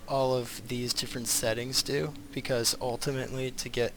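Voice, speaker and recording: A man giving casual live video game commentary as a streamer, rambling and thinking aloud with halting pauses. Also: mid-range voice, level tone, noisy background.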